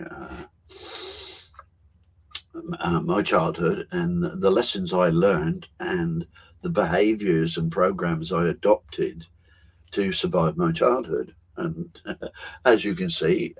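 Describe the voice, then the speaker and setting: An older man in his seventies speaks in a very low, husky voice. He talks slowly and thoughtfully on a video call and leaves room for pauses and quiet laughs. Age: 70s. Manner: unhurried, musing, with soft laughs and pauses. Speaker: co-host. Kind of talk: reflective personal storytelling.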